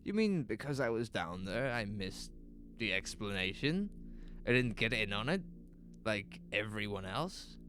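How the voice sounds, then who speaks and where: A man playing an anxious, pleading small-town storekeeper in an audio horror drama, halting and self-justifying. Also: fairly low pitch, big pitch swings, bright, forceful tone, faint music behind, voice pushed up, exaggerated voice. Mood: panicked, confused.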